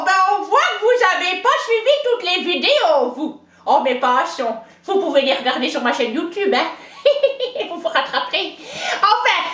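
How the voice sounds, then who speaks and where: A woman's voice: high voice, fairly bright tone. A woman playing a chatty, boastful older countrywoman with a Franc-Comtois accent, rambling in a folksy way. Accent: Franc-Comtois (Haut-Doubs). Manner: folksy, rambling, peppered with "hein" and "oh ben". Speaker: interviewee. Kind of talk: comic character interview. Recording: roomy, dull lo-fi sound.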